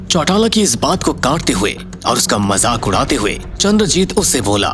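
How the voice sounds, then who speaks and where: A man's voice: medium pitch, sing-song, moderate pace, over music. A man narrating an audio story in a scripted, dramatic style.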